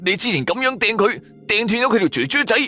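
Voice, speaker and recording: A man acts out a reckless, grumbling male sidekick from a supernatural audio drama. He has a Cantonese accent and whines cheekily, with excitable jumps in pitch over faint music. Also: fast, full character voice. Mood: cheeky, anxious.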